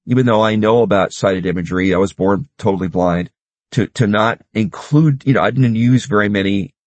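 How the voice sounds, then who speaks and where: A man hosting an interview, speaking in a relaxed, conversational way and asking questions. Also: fairly low pitch, dry recording. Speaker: host.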